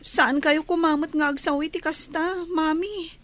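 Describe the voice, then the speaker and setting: A woman's voice: high voice, fairly even intonation, measured pace, a bit bright, dry recording. A woman playing a lovesick, wistful young daughter in radio drama, with an Ilocano accent. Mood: sad, wistful.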